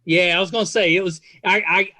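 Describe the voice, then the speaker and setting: Male podcast host, 50s, slightly high-pitched, casual and enthusiastic, halting delivery with trailing sentences. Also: fairly even intonation, bright, forceful tone, no room sound. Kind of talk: casual interview chat.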